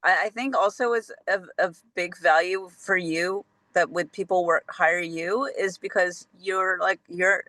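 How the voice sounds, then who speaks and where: A man's voice: sits high, fairly even intonation, measured pace, few pauses, sharp tone, no room sound. A man speaks up in support and encouragement during the group talk.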